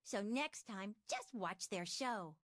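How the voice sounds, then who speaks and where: A woman's voice: mid-range voice, animated, takes it slowly, a bit bright, no room sound, studio quality. A woman voicing an eager cartoon child in a preschool game, giving instructive tips in sing-song rhyme. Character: bright-eyed cartoon child giving safety and nature tips. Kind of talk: scripted rhyming children's game narration.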